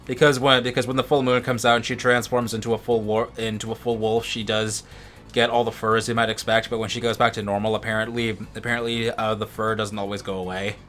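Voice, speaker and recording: A man's voice: on the low side, little pitch movement, quick pace, a bit bright. Geeky man chatting over game music. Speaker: co-commentator on a let's play. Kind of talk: casual chat over gameplay.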